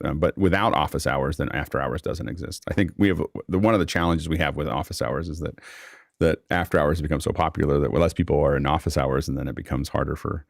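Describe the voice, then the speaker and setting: A man's voice: rumbling low, creaky, no echo, studio quality. A man hosting a casual unscripted panel discussion, rambling and thinking aloud as he repeats words. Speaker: host. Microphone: close microphone on an online video call.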